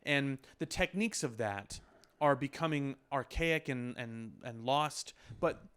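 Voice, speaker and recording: A man's voice: medium pitch, expressive, a little slow, fairly bright tone, clean tone. A man co-hosting a podcast in casual discussion, explaining his points with lists of examples. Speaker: podcast co-host. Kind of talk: casual discussion of films and effects.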